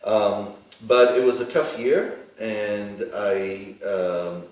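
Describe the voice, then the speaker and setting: A very deep-voiced middle-aged man whose first language is Hebrew talks through his answers in a measured way, pausing on ums and uhs. He sounds set well back from the mic in a strongly echoing studio. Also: steady tone, a little mellow. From Israel. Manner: deliberate, many ums and uhs. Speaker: plastic surgeon, interview guest. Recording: echoey studio, mic far off.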